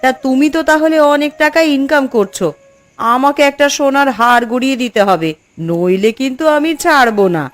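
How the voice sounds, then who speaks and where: A woman's voice: high voice, fairly quick, no echo, faint music behind, close to an everyday voice. A woman voicing a demanding, materialistic village wife in a cartoon, with a nagging, insistent manner. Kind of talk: Bengali moral-story cartoon dubbing.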